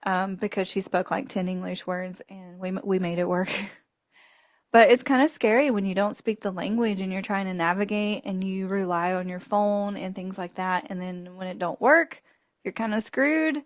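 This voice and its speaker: A woman's voice: medium pitch, normal speed, dry recording. A woman with a mid-range voice hosts a podcast, laughing often as she tells her stories and acts out the voices of the people in them. Her voice is recorded close and dry. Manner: animated, laughing storyteller acting out voices in her story. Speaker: podcast host. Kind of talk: casual travel storytelling.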